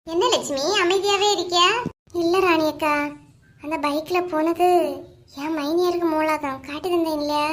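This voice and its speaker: A woman's voice: extremely high pitch, fairly even intonation, normal speed. A woman voicing touchy, bickering village women in a comedy cartoon, scolding and grumbling in Kanyakumari Tamil slang. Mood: gossipy.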